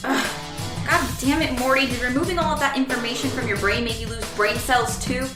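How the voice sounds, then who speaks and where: A woman's voice: high-pitched, fairly even intonation, a little fast, close to an everyday voice. A woman voicing a sardonic, bossy, tough teenage girl in a cartoon sci-fi audio drama. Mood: irritated. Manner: sardonic, assertive. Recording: roomy voice under loud music.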